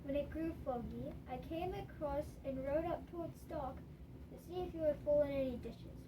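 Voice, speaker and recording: A woman playing a brisk, cheeky young male hobbit, chatty and teasing, in amateur radio theater. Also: high-pitched, close to an everyday voice. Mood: cheerful, teasing. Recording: distant mic, clearly noisy home recording.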